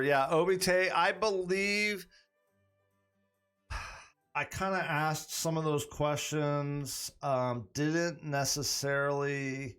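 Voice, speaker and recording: A man's voice: fairly high pitch, fairly bright tone, smooth. Man thinking aloud as game master. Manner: rambling, thinks aloud with frequent restarts. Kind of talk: running a tabletop campaign stream, chatting with viewers.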